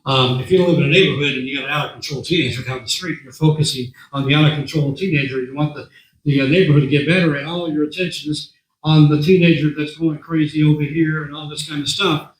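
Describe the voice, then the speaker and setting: Man, hoarse voice, fairly high pitch, conversational guest speaker, clears throat often, echoey room. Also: steady tone, fast. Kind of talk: talk to a meeting. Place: meeting room.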